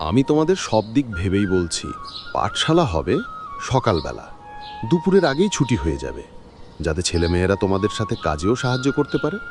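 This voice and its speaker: Casting: male actor as benevolent cartoon village landlord, lowish voice, very animated delivery, dignified and measured. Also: music in the background. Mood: earnest, encouraging. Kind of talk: acted cartoon folk-tale dialogue.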